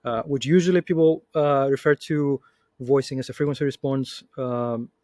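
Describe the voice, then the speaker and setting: A man giving a methodical, measured technical explanation as a product manager on a panel. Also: medium pitch, fairly even intonation, a little mellow, no echo, studio quality.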